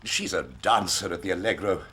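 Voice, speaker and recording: An actor playing a haughty, touchy aristocrat with an upper-class English accent, pompous and clipped, in a period drama. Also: male voice, low-pitched, brisk, bright, forceful tone, a little hiss or hum. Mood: defensive. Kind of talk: period detective drama acting.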